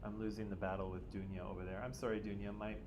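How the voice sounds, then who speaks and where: A man's voice: fairly low pitch, level tone, fast talker. A man teaching a class, joking with students and asking questions, often tagging "right?" onto his points. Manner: jokey, self-teasing, checks in with "right?" constantly. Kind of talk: classroom discussion. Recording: noisy classroom audio.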